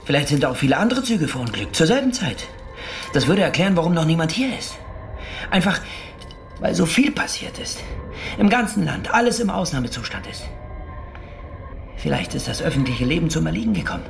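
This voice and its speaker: An actor playing a brooding male train-crash survivor who spins dark theories, in a radio drama. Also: on the high side, sing-song, gravelly, a little hiss or hum. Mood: ominous. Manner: slow, musing, ominous.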